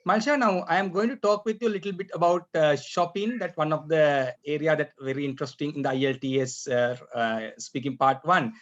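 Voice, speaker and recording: A man running a mock speaking exam as tutor, talking haltingly with many fillers and often clearing his throat, heard over a video call. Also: fairly high pitch. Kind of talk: examiner questions over an online class. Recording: dull lo-fi online call audio.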